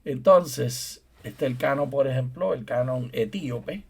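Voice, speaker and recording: A middle-aged man with a husky, slightly breathy voice co-hosts a conversational Bible study program. He explains scholarly points at length. He gently teases his co-host and pulls the discussion back on track. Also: mid-range voice, unhurried. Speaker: co-host, theologian and professor.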